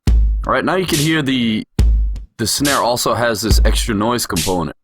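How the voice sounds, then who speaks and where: A man's voice: medium pitch, animated, normal speed. A man giving an explanatory voice-over tutorial as a music production instructor.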